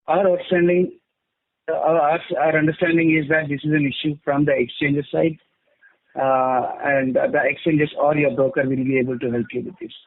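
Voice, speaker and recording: A man with an Indian accent giving a formal, measured company statement as an executive on an investor call. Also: fairly high pitch, flat delivery. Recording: tinny phone conference line.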